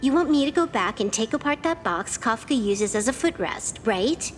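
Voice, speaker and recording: A woman's voice: a little high, animated, normal speed, a little hiss or hum, close to an everyday voice. A woman playing a cool, cheeky young teammate in a video game, with a laid-back, dry delivery. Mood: teasing, smug.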